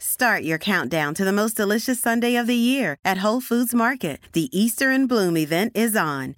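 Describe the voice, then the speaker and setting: Female announcer, medium pitch, scripted retail ad, dry studio sound. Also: no echo. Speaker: advertising announcer.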